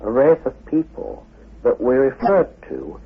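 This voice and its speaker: A man giving a measured expository talk as an esoteric author. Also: mid-range voice, wildly swooping pitch, slightly rough. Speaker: author and head of an esoteric order. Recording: dull lo-fi radio recording.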